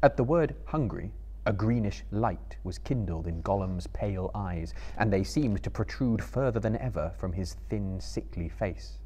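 A man in his thirties reads literary fiction aloud as a dramatic storyteller. His voice sits low and has a husky grain. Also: expressive, normal speed, mellow. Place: book-lined room before a small live audience.

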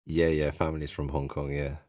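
A man's voice: rumbling low, little pitch movement, fairly quick, a little mellow, no room sound, studio quality. A man in his thirties with a British accent, a guest on a podcast, telling his story in an earnest, reflective way over a video call. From United Kingdom. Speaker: guest trader. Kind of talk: interview storytelling. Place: home room. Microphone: boom-arm mic on a video call.

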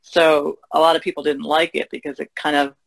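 A woman with a very gravelly, creaky voice talks as a panelist in an easygoing discussion. The sound is dry, with hardly any room around her. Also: low voice, level tone, brisk, no room sound. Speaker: podcast panelist. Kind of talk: casual discussion.

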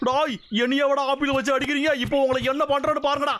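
An actor voicing a hot-tempered, bungling cartoon logger who rants and threatens in exasperation, in a dubbed comedy. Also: male voice, very high voice, little pitch movement, fast talker. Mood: exasperated, angry. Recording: loud cartoon music behind the voice. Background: loud music.

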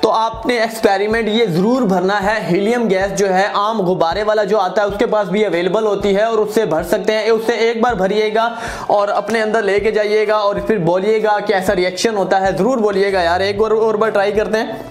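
A man's voice: high-pitched, fairly even intonation, moderate pace. A man in his twenties from Pakistan with a Pakistani accent, chatting casually and enthusiastically to camera as a video host, often repeating himself. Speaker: video host doing experiments. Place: indoors, plain-walled room. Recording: music in the background. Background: music.

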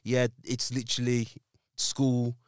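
Male guest, laid-back storyteller, smooth clear voice, dry studio mic. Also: mid-range voice, measured pace, no room sound. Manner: laid-back storytelling, animated when excited. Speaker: podcast guest. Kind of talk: casual chat. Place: podcast studio. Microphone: boom-arm studio mic.

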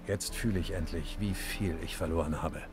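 A man's voice: sits low, moderate pace, natural voice. An actor voicing a proud, weary nobleman in a German game dub, speaking in a somber, heartfelt way. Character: proud red-haired nobleman, weary, grieving. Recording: studio dub over loud music.

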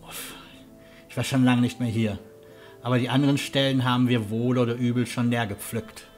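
A man playing a calm, friendly hunter and guide in a fan-made fantasy audio drama. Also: medium pitch, moderate pace, soft background music, close to an everyday voice. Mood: practical. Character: friendly, down-to-earth male hunter guide Jannis.

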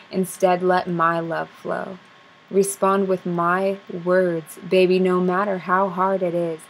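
A young woman speaks spoken word verse in a low, steady voice with little rise and fall. Her delivery is soft and intimate, the rhymes landing in a measured rhythm. She is close to a webcam in a small room, with a faint hiss behind her. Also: gravelly, rough recording. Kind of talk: spoken word poetry recital. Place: small dim room indoors. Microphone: webcam at close range.

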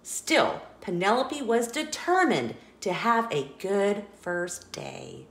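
A woman reading a children's picture book aloud in a playful, expressive storytelling voice, indoors. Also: mid-range voice, slow pace, a little hiss or hum. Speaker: storybook reader. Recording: roomy echo.

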